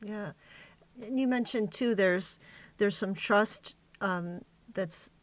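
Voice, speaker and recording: A woman's voice: mid-range voice, slow, dry recording. A woman hosting a reflective interview, conversational and tentative as she feels for her words. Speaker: podcast interview host.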